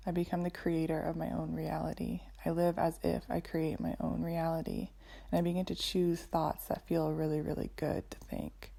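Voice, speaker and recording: Woman, yoga teacher, dark relaxed tone, gravelly fry, soothing measured guidance. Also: on the low side. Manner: soothing, measured, deliberate phrasing. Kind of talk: guided meditation and yoga instruction.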